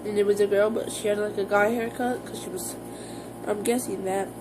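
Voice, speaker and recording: An American woman talking casually to her viewers in an informal, halting, rambling vlog style. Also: mid-range voice, steady tone, music in the background. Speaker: vlogger.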